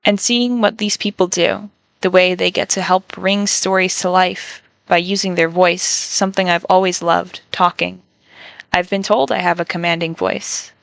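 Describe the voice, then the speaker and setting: Young woman with a low, gravelly voice. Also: fairly even intonation, a bit bright, no room sound. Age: young adult. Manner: reflective, halting, measured. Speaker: college student. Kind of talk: personal venting monologue.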